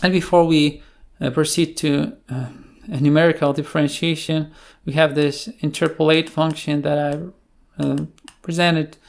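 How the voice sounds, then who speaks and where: A man presenting an unscripted screencast coding tutorial in a hesitant, halting way, with pauses between phrases. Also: fairly high pitch, steady tone, gravelly.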